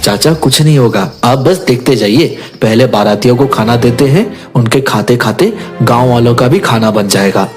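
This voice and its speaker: A man playing a kind, confident young cook and restaurant owner, reassuring and friendly, in an animated moral story. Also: mid-range voice, moderate pace, soft background music, natural voice.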